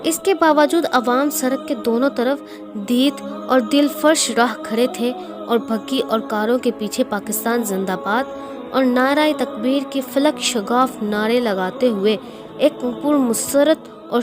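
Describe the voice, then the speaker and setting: A woman's voice: on the high side, measured pace. A woman narrating a scripted historical speech in a formal, declamatory manner. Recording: music behind the voice. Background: clear background music.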